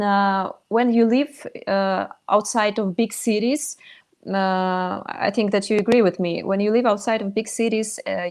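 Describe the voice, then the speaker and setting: A young woman from northern Russia with a Russian accent, answering interview questions in a halting, thoughtful way with many fillers, over a video call. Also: medium pitch, darkish tone. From Sakha Republic, northern Russia. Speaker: singer in a metal band, guest.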